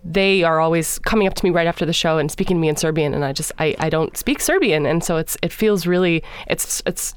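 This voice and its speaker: A woman singer in a radio interview, speaking thoughtfully and pausing often to find her words. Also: low voice, clear, resonant tone. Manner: thoughtful, searching for words with many fillers. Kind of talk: interview conversation.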